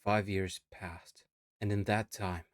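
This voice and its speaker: Low-voiced man telling a somber tale. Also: level tone, takes it slowly, very noisy. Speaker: VTuber narrating his character's lore. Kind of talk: scripted first-person audio-log narration.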